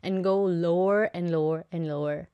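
A woman from Korea in casual chat, pausing and searching for her words. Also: fairly low pitch, steady tone, smooth, dull audio.

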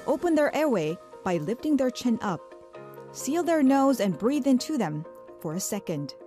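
A woman reading scripted first-aid instructions in a calm, instructive way. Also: on the high side, normal speed. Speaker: narrator of a safety announcement. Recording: music behind the voice.

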